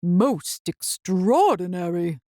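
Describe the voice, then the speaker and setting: A woman's voice: lowish voice, animated, slow pace, mellow, dry recording, clean studio sound, pitched below the performer's own voice. A woman voicing a pompous, thorough male doctor from a children's storybook. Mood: fascinated, clinical.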